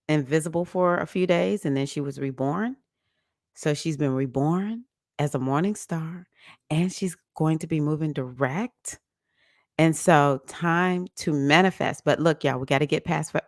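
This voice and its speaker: A low-voiced woman in her early fifties hosts a livestream in a relaxed, chatty way. She laughs easily and greets viewers by name as she goes. The sound is dry and close, with no room echo. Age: 50s. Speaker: livestream host. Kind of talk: casual livestream chat on astrology.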